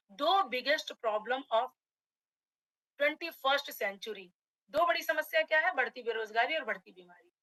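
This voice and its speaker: High bright-voiced woman, slightly hoarse. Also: dry recording, low-quality recording. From Uttar Pradesh, India. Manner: addresses audience as friends, motivational. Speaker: direct selling trainer. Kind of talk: online webinar presentation.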